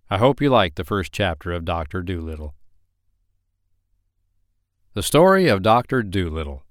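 A man's voice: on the low side, dry recording, studio quality. A man reading a children's story aloud as a bedtime storyteller, speaking directly to young listeners with emphatic, sing-song phrasing. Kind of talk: expressive children's story narration.

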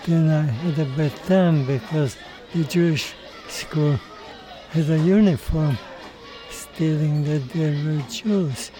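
An older man, telling his life story in an interview in a halting way, with many restarts and repeated words. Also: fairly high pitch, dark tone, soft background music, some chatter behind, rough recording. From Mexico, raised in Hungary. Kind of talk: recorded interview.

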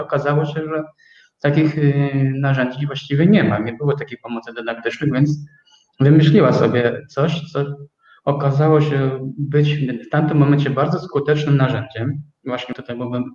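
A man explaining things as an interview guest, measured and searching for his words. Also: mid-range voice, darkish tone. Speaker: interview guest, company co-owner. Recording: echoey, dull, distant from microphone.

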